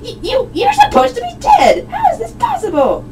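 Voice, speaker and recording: A woman's voice: very high voice, wildly swooping pitch, moderate pace, a little mellow, heavily acted. A woman playing a stern, pompous, easily indignant male prosecutor in a puppet show, speaking formally and precisely. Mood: indignant, shocked. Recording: distant microphone, noisy room.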